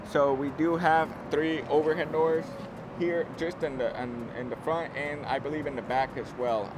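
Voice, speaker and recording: A man in his thirties presenting an unscripted walkthrough of a building site, explaining informally and often rephrasing himself. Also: on the high side. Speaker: construction company presenter giving a site tour. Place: outdoors on an open steel-frame construction site. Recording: clear outdoor site and vehicle noise.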